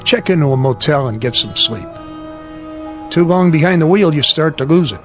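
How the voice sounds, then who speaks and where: A man's voice: fairly high pitch, lively intonation, normal speed, a bit bright, faint music behind. A man playing an easygoing, folksy roadside mechanic in a radio drama, plain-spoken and offhand. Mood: easygoing, amused.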